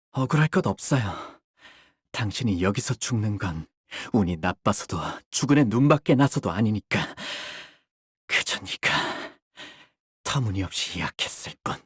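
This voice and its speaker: An actor playing a young, bird-like warrior in a game, polite and formal in speech yet bloodthirsty, with theatrical delivery. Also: male voice, on the low side, a bit bright, breathy edge, no echo, studio quality, heavily acted. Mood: fierce, intense. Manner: polite, formal speech breaking into fierce intensity. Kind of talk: Korean game character voice lines, theatrical.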